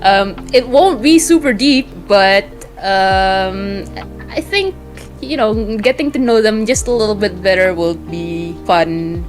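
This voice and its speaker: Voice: medium pitch, takes it slowly, faint music behind. A creator being interviewed in casual chat, thinking aloud in a giggly, hesitant way and giving advice. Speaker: webtoon creator, interview guest.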